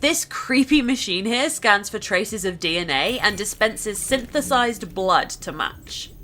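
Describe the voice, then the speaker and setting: A woman's voice: mid-range voice, animated, unhurried, pushed and bright, soft background music. A woman narrating a video game walkthrough in a casual, chatty, conversational way. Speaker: gameplay narrator.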